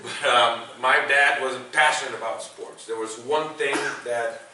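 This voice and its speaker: A man's voice: mid-range voice, steady tone, measured pace. Salvadoran-accented man in an echoey classroom. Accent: Salvadoran Spanish. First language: Spanish. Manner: self-deprecating, conversational, restarts often. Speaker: guest speaker, sports broadcaster. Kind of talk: public talk to students. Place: university classroom. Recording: distant mic, echoey classroom, faint noise.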